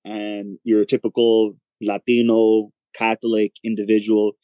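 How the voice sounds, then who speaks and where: A man's voice: on the low side, completely flat delivery, unhurried, mellow, no echo, dull audio. A man guest in a casual chat, speaking hesitantly with many ums.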